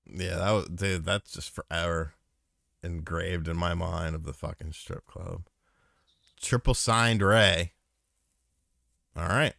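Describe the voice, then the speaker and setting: Low gravelly dude cohosting a podcast, cussing and joking, bright dry sound. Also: male voice, takes it slowly, pauses often, dry recording. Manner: joking, profane, rambling. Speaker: podcast co-host. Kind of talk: casual chat.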